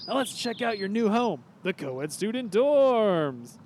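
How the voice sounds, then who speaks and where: A man's voice: sits high, sing-song, measured pace, background noise. A man playing an earnest, sentimental older ex-policeman who works as a school official in a comedy cartoon, speaking in a wordy, dramatic way. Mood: genial, welcoming.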